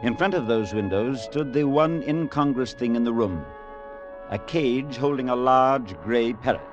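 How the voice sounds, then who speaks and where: An actor playing an earnest, courteous clergyman in an old-time radio drama, speaking with measured, formal gravity. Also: medium pitch, close to an everyday voice. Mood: grave, reflective. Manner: measured, grave, formal storytelling. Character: earnest, courteous, compassionate clergyman recounting a dark tale. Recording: muffled old radio recording with music.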